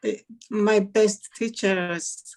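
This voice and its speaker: A woman from Mongolia speaking English, giving brief, halting replies in a casual conversation. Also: medium pitch, fairly even intonation, darkish tone. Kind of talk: casual video-call conversation.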